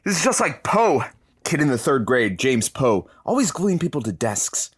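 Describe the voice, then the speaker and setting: A man's voice: medium pitch, big pitch swings, normal speed, husky. A man playing a put-upon radio producer in an audio comedy, grumbling and flustered. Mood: aggrieved.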